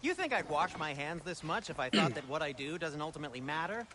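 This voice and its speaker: An actor playing a fussy, fastidious dwarf in a fantasy video game, speaking emphatically. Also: male voice, a little high, lively intonation, pushed and bright. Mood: fussy, insistent. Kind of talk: video game character dialogue. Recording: noisy game audio.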